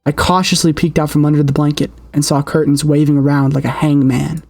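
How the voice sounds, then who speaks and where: A high-pitched male voice performs dramatic horror narration from a video game. He reads theatrically, building suspense, with music playing softly behind him. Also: little pitch movement, brisk, creaky.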